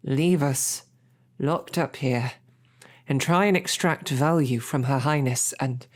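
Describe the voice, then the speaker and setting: A woman's voice: extremely low pitch, slow, heavily acted. A woman playing a snarky, defiant captive knight loyal to her princess, speaking in formal, chivalrous phrases with dry sarcasm in an audio drama. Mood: defiant, stern. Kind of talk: scripted audio roleplay drama.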